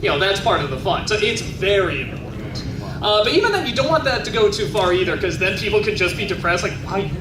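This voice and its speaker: High-voiced man rambling at a panel. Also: very animated, quick pace, recorded from a distance. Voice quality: high-pitched voice. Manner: casual, chatty, lots of fillers and restarts. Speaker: panelist answering audience questions. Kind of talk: convention panel Q&A. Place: convention panel room. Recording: echoey convention hall from afar, noisy.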